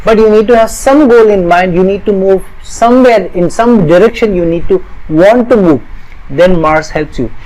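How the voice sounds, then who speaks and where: High-pitched middle-aged astrologer guy from india, earnest, repeats himself in long lists. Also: normal speed, darkish tone, low-quality recording. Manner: earnest, repetitive, builds lists of parallel phrases. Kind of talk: explanatory talk to camera.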